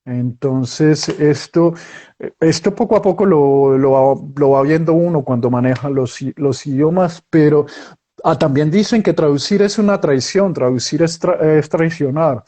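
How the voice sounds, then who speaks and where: A man's voice: fairly high pitch, measured pace, warm tone. A middle-aged man from Colombia, a guest writer, chatting casually and telling stories with many restarts. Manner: storytelling, many restarts and acted quotes. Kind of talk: casual interview conversation.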